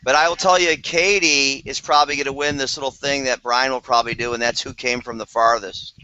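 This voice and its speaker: Enthusiastic man with a bright, clear voice. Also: medium pitch, fairly even intonation, brisk, dry recording. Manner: enthusiastic, rambling with fillers. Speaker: business team leader on a video call. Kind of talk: casual group video chat. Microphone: video call. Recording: dry, slightly dull call audio.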